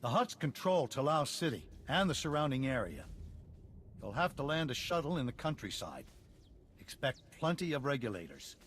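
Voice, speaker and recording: An actor playing an earnest leader of a besieged planet, speaking in a formal way. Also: male voice, mid-range voice, sing-song, normal speed, fairly bright tone, slight background noise. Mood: earnest, explanatory.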